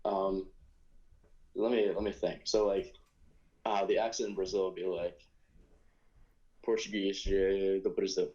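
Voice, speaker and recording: A man's voice: low voice, flat delivery, measured pace, pauses often, mellow, highs cut off. A young American man with a New York accent, a podcast guest chatting casually and rambling, with many fillers and restarts. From United States. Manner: rambling, hesitant, lots of fillers and self-corrections. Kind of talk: casual conversation.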